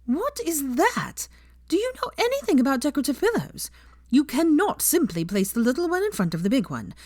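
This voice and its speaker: A woman's voice: sits high, big pitch swings, brisk, darkish tone, no echo, clean studio sound, voice pushed up. A woman voicing a haughty, bossy, mischievous young woman in a storybook reading, with an imperious, mocking delivery. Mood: bossy, exasperated. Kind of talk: dramatised audiobook character dialogue.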